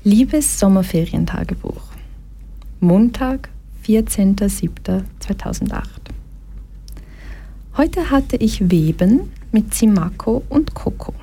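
A woman's voice: mid-range voice, expressive, slow, dark tone, breathy edge. A young woman from Brazil giving a personal talk to an audience, earnest and reflective, at one point reading aloud from her childhood diary.

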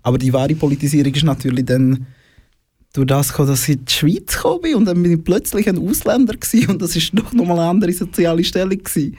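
A man's voice: on the high side, lively intonation, quick pace, darkish tone, slightly rough, dry recording. A young man from the former Eastern Bloc, a guest in a casual studio conversation, hesitant and full of fillers, laughing often.

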